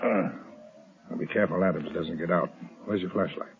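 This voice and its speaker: A cold, scheming crime boss acted by a man in an old radio thriller. His lowish voice is commanding and articulate, with an impatient edge, heard through thin, narrow-band 1930s broadcast sound. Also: a little fast, close to an everyday voice.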